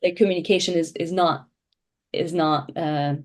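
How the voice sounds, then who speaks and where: A woman whose first language is French speaks in a slightly low voice as a podcast guest. She talks in a chatty, animated way and often breaks into laughter. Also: normal speed. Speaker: podcast guest, shoe brand founder. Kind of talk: casual interview chat.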